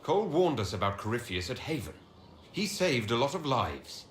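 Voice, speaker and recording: A man's voice: medium pitch, very animated, normal speed, fairly bright tone, reverberant, a little hiss or hum. A man playing a thoughtful, curious leader in a fantasy video game, frank and conversational. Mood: reasoning. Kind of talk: dramatic video game acting.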